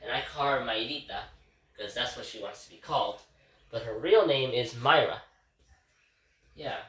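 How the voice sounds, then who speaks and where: A congested man rambles through a casual home vlog, forever turning to address his viewers. His voice is bright and forward. He sits away from the mic in an echoey kitchen. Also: medium pitch, moderate pace. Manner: rambling, keeps calling out to "guys". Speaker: vlogger giving a daily reflection. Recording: roomy, distant kitchen recording.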